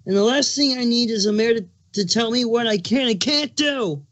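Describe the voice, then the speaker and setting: Man playing a cartoon changeling, male, heavily pregnant, very high voice, touchy, snappish, sarcastic. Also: moderate pace, fairly bright tone. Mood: indignant, defensive. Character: irritable, moody pregnant male changeling creature with big appetite. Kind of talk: cartoon fan fiction dramatic reading.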